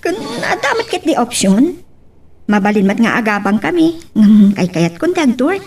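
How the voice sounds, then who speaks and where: A woman's voice: on the high side, sing-song, moderate pace, a little mellow, husky, far from the mic, full character voice. A woman with a Filipino accent playing a polite, dutiful young working wife in an audio drama. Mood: polite, reassuring. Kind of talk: Ilocano radio-style drama acting.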